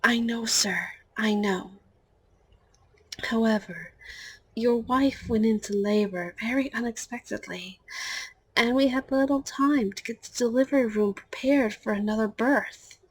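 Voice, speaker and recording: A woman's voice: on the high side, a little slow, slightly rough, low-quality recording. A woman playing a patient, reassuring hospital nurse in a scripted audio roleplay, speaking politely and formally. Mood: calm, reassuring.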